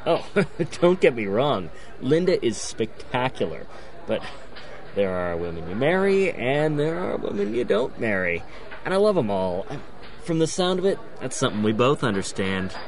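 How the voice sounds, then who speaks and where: A man's voice: mid-range voice, very animated, moderate pace, slight background noise, natural voice. An actor playing a cocky, easygoing womanizer in a conversational audio drama style. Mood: smug, relaxed.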